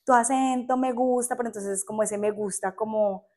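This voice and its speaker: A young adult woman with a Colombian accent, a teacher chatting as a podcast guest, animated and quick to laugh. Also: fairly high pitch. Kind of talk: casual podcast interview.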